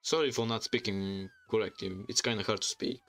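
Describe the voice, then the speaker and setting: A man from Bulgaria with a Bulgarian accent, chatting casually on a livestream in halting, rambling English full of restarts. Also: fairly low pitch, a bit bright, faint music behind. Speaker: streamer and YouTuber. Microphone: desk microphone.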